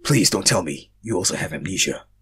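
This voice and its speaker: A man's voice: low-pitched, animated, a little fast, fairly bright tone, slight background noise, voice pushed down. A man voicing a friendly, easygoing male fantasy royal in a story, casual and lightly amused. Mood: amused disbelief.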